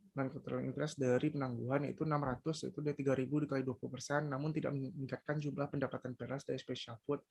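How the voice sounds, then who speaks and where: A young Indonesian-accented man presents scripted academic material like a university student. His voice is mid-pitched and rough, with a gravelly creak. He reads haltingly and trips over English technical terms. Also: a little mellow. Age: young adult.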